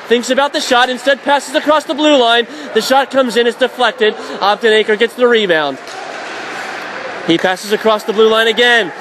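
A man calling college hockey play-by-play for radio, rising to shouts on shots and saves. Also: sits high, slight background noise. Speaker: play-by-play announcer.

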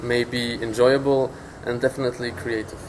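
A man's voice: medium pitch, flat delivery, moderate pace, a little hiss or hum, low-quality recording. A young man from Poland, a volunteer, answering interview questions in an easygoing, encouraging way outdoors. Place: outdoors by a brick wall.

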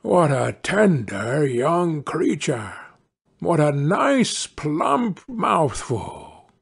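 A man's voice: fairly high pitch, big pitch swings, very slow, gravelly, husky, pitched above the performer's own voice, heavily acted. A man voicing a sly, hungry male wolf in a fairy tale, coaxing and crafty as he draws out his words. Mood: scheming, greedy.

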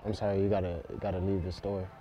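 A man's voice: low voice, little pitch movement, fast, very noisy. A man speaking casually and hesitantly in a prank, stammering as he plays a store worker. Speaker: prank video host playing a store worker. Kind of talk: casual improvised prank chat. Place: large retail store aisles.

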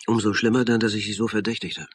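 An actor playing a middle-aged, conscientious and intense amateur sleuth in a German radio crime drama, husky and earnest. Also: male voice, on the low side, little pitch movement, quick pace, a little hiss or hum, lightly acted. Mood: anguished, guilty.

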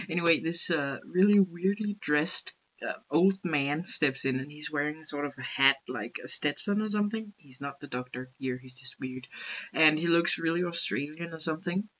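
A woman's voice: low voice, normal speed, fairly bright tone, slightly rough, poor audio. Rambling young Danish woman on webcam. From Denmark. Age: young adult. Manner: rambling, self-deprecating, giggly, gestures a lot. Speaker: vlogger. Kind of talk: casual webcam vlog. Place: small bedroom indoors. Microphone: built-in webcam mic.

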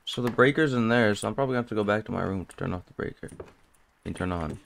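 A man's voice: fairly low pitch, very fast, creaky, slight background noise. A man playing a horror game, commentating casually and nervously, talking to himself. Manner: jumpy, nervous, talks to himself. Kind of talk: casual let's play commentary.

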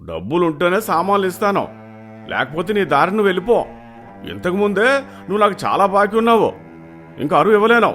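Village grocer for cartoon tale, played by a man: scornful, dismissive, raised pitch, animated delivery. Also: brisk, long pauses, soft background music. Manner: dismissive, scolding. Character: gruff, contemptuous village grocer refusing credit.

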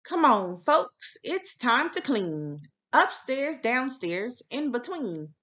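A woman's voice: a little high, big pitch swings, slow, fairly bright tone, highs cut off, heavily acted. A woman reading as a frazzled, bossy parent in a children's storybook, emphatic and commanding. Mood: bossy, hurried. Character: frazzled, bossy parent rallying the family to clean. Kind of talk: children's storybook read-aloud.

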